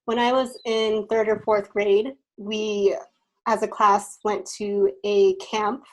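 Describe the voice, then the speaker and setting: A woman in her thirties from the United States, hosting a podcast discussion over a video call from her bedroom in a clear, measured way. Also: medium pitch, flat delivery, rough recording. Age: 30s. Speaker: podcast host. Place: bedroom at home, indoors. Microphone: video call from home.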